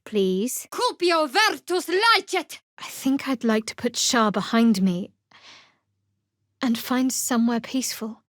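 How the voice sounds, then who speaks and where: A young female cleric of a dark goddess speaks in a fairly high voice. She is guarded and wry, with a cool, sardonic edge. It is scripted fantasy game dialogue, recorded very dry with no room sound. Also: dry recording. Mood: playful, then casting spells, then wistful. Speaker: video game character.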